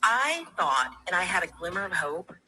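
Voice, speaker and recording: Female livestream caller, formal and defensive, sharp piercing tone, emphatic delivery, light background noise. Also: fairly low pitch, sing-song, normal speed, low-quality recording. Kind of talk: opinionated panel discussion.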